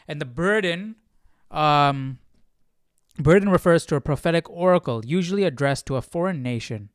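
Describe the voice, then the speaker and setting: A man hosting a casual Bible study livestream, haltingly thinking aloud as he comments. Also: a little high, lively intonation, dry recording, clean studio sound.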